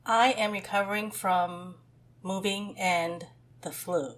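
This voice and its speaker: A middle-aged woman co-hosting a podcast with her daughter, her voice husky from the flu, chatting casually and laughing often. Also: medium pitch, steady tone, takes it slowly, fairly bright tone. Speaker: podcast co-host. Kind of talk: casual chat.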